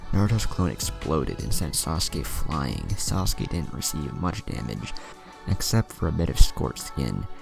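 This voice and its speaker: A man with a gravelly voice narrating a scripted action story. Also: big pitch swings, a little slow. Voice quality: very deep, gravelly. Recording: music under the narration. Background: music.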